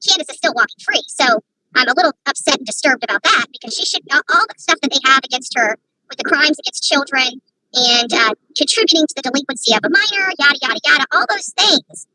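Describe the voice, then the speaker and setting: A woman's voice: high voice, expressive, fast, pushed and bright, a little hiss or hum, low-quality recording. A woman giving opinionated, rambling livestream commentary from her phone, often breaking into indignant rhetorical questions. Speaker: online commentator.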